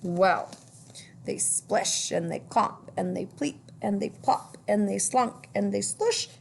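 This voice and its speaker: A woman's voice: medium pitch, takes it slowly, gravelly, slight background noise. A woman teacher reading a children's picture book aloud, with singsong emphasis on the rhymes.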